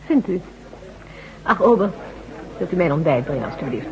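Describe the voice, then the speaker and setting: An actress playing a concerned, sensible woman doctor in a radio drama, gently cautioning and lightly teasing. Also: fairly low pitch, big pitch swings, normal speed. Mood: polite, bright. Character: concerned, sensible female doctor, brain specialist. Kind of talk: radio drama acting in Dutch. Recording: dull radio recording with background chatter.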